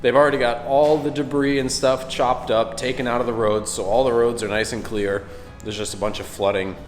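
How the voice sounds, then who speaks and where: A young man getting over a cold talks casually to his viewers in a vlog, speaking relaxed and thankful from inside a large metal garage. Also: mid-range voice, brisk, soft background music. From Florida. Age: young adult. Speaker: vlogger. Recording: long reverb in a metal garage.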